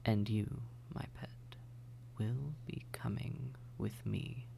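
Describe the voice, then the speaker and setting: Guy acting as a silky vampire, whispery n creaky, taunting and menacing in a sweet coaxing way, audio roleplay. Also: fairly low pitch, fairly even intonation, dark tone, heavily acted. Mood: silky, gloating. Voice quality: breathy, often whispered, creaky. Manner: slow, coaxing, mock-tender menace. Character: silky, taunting, predatory vampire toying with captive hunter. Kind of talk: immersive roleplay audio drama, often whispered.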